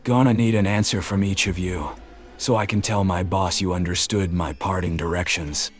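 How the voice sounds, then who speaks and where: A man voicing Leo, a thickset hired enforcer who keeps a jovial tone while making quiet threats and calling people "mate", in an audiobook. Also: deep voice, steady tone, moderate pace, lightly acted. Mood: menacing. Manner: cheery tone over quiet threats, says "mate".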